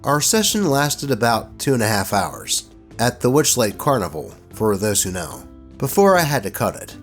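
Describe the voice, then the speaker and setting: Expressive male storyteller over background music. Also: medium pitch, normal speed. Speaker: host reading online tabletop horror stories. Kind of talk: scripted storytelling narration with casual commentary.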